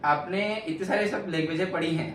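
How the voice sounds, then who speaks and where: A man's voice: sits high, a little fast, a bit bright. A man acting as interviewer, affirming the answers and putting the next question to the audience. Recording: echoey room, far from mic, noisy.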